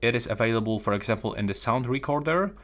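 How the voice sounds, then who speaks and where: A man's voice: medium pitch, quick pace, fairly bright tone. A man presenting a step-by-step phone tutorial.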